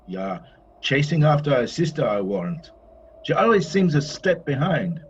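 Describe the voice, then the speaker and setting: A man's voice: on the high side, big pitch swings, normal speed. An actor playing a whimsical, courtly male painter in an audio play, moody yet carefree, with a theatrical, lyrical way of talking. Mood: fond, musing. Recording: dull, slightly noisy recording.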